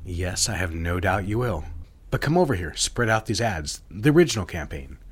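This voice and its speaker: Man acting a deep, husky, intense ad boss. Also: sing-song, normal speed, full character voice. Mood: businesslike, instructive. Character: married 1960s ad executive, smooth, intense, commanding boss. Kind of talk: period romantic audio drama acting.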